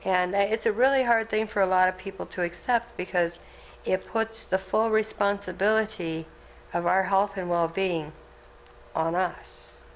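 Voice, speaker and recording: A woman working as a Reiki practitioner, calmly explaining while guiding a healing session in an indoor room. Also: medium pitch, measured pace, slight background noise. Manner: calm, explanatory, gently instructive. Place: indoor treatment room with fan. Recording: echoey room, mic at a distance.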